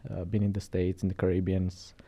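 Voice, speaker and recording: A man with a Romanian accent speaks in a low, mellow voice. He talks loosely and conversationally, restarting phrases and checking in with "right?" as he tells his story as a podcast guest. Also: completely flat delivery, a little fast. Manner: chatty, storytelling, frequent restarts and "right?" tags. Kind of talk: casual interview chat.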